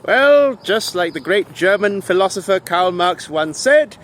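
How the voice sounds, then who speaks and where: A man speaks as a political commentator in a scripted, rhetorical monologue. His voice sits slightly high and moves in lively swings of pitch. He paces his phrases slowly, setting them apart with deliberate pauses for emphasis. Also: a little hiss or hum.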